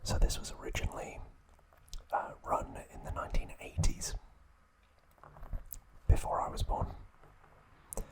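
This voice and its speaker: A young man hosting ASMR in a breathy, unvoiced whisper, halting and hushed, close to the mic. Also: low-quality recording. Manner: slow, hushed, halting with long pauses. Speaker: host of an ASMR channel. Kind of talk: ASMR, whispered informal trivia talk.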